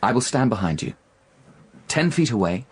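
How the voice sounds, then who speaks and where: Reckless young gambler, wildly swooping voice. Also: male voice, fairly low pitch, big pitch swings, normal speed, breathy edge, exaggerated voice. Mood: feverish, insistent. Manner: agitated, erratic, pressing, repeats himself. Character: reckless indebted young gambler from a wealthy family, insistent. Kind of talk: radio drama acting.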